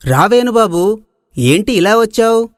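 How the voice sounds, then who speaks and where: A man as a genial, high-pitched village landowner. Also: very animated, unhurried, dry recording, clean studio sound. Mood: kindly. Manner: indulgent, genial. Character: kindly, approving older village landowner man.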